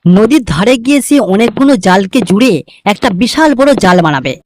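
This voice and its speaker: Casting: woman storyteller for cartoon folk tales, scripted narration with widely varied pitch. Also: very animated, brisk, darkish tone, no room sound.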